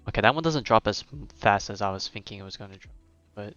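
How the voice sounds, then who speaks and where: Low-voiced young man streaming games. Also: animated, fast, a bit bright. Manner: rambling, conversational, thinking aloud. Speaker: game livestreamer. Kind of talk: casual live gameplay commentary and chat. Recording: slightly dull mic over game music. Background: game music.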